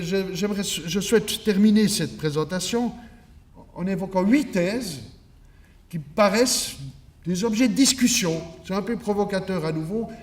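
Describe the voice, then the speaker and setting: A male academic from Switzerland delivers a keynote lecture in a very echoey room, picked up from a bit of a distance. His voice sits a little high for a man. He teaches as he goes, stressing points and dropping in rhetorical asides. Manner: didactic, emphatic, with rhetorical asides. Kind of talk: conference lecture.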